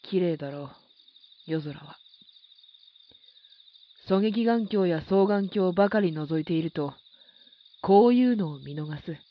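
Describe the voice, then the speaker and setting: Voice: mid-range voice, lively intonation, unhurried, pauses often, muffled recording, close to an everyday voice. A performer acting a stern yet reflective military instructor in a Japanese audio drama, commanding and formal.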